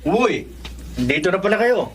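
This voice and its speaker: An actor with a Filipino accent playing a friendly, gossipy former classmate in a radio drama, talking in a confiding, conversational way. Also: male voice, high-pitched, big pitch swings, moderate pace, a bit bright, a little hiss or hum.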